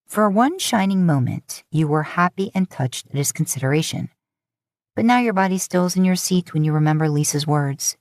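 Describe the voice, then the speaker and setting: Casting: female audiobook-style narrator, low register, dry close sound, scripted fan fiction. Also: animated, moderate pace, darkish tone, no echo. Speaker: podfic narrator.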